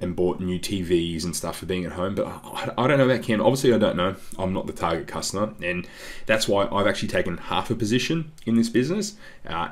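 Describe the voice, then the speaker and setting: A very low-voiced man talks through share picks in a loose, chatty way. His voice sounds congested, and he keeps calling his audience "guys" between ums. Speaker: investing channel host. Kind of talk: casual stock analysis over slides. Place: voice-over on screen-share slides.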